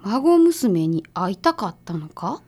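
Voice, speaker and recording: A woman playing a brisk, sharp detective's assistant in a radio drama, speaking casually and decisively with a Kansai accent. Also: medium pitch, big pitch swings. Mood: brisk, curious.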